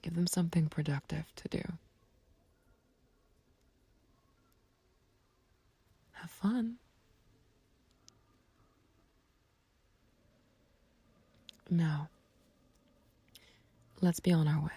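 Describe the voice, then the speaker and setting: Woman acting as smug female villain, low mellow voice, breathy, teasing, condescending, flirtatious, unhurried, ASMR roleplay. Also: no room sound. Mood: smug, threatening. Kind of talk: ASMR roleplay audio drama.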